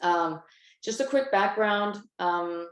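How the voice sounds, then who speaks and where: A woman from Denmark, a basketball referee, presenting on a video call in a composed, steady way with soft pauses. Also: mid-range voice, roomy sound. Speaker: basketball referee, webinar speaker.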